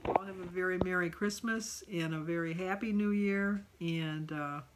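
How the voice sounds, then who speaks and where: A woman's voice: fairly low pitch, normal speed, a little hiss or hum, poor audio. A middle-aged woman talking casually and candidly in a personal vlog, rambling from one thought to the next. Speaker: vlogger.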